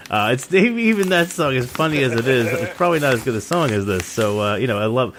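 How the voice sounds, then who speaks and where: Man, new england accent, rambling laughing co-host, middling pitch, faint noise. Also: lively intonation, fairly quick. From Central Maine. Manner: rambling, laughs often, many restarts. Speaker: podcast co-host. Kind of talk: casual chat.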